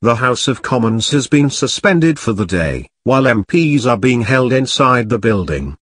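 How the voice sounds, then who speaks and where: A man's voice: medium pitch, sing-song, normal speed, fairly bright tone, husky, dry recording. Man reading news headlines, dry. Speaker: news reader. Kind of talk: scripted news narration. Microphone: voice-over.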